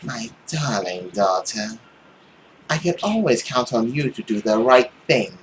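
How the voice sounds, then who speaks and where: A man voicing a menacing, domineering mother who accuses and commands with drawn-out scorn in a visual novel. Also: mid-range voice, animated, slight background noise, close to an everyday voice, rough recording. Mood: vengeful, commanding. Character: menacing, scornful, domineering mother haunting her daughter's mind.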